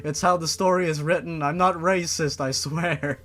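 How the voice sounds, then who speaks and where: A man's voice: on the high side, fairly even intonation, normal speed, fairly bright tone, faint music behind. A man hosting a comic fan-fiction reading, narrating theatrically with mocking asides.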